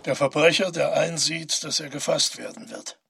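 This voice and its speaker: A very raspy, higher-set male voice plays a killer's terse inner narrator in a radio drama. It is creaky and clipped, recorded close and dry. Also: little pitch movement, normal speed, a bit bright, no room sound, soft background music, exaggerated voice. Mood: tense. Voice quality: husky, raspy.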